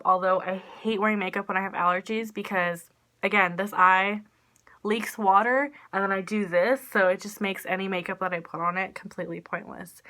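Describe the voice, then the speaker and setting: A young woman with a bright, forward voice talks straight into a phone held at arm's length in a small room. Her nose is stuffed up from allergies, and she rambles along with plenty of "like" and "um", poking fun at herself. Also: medium pitch. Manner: rambling, self-deprecating, lots of "like" and "um". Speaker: vlogger. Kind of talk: casual chatty vlog. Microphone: phone camera at arm's length.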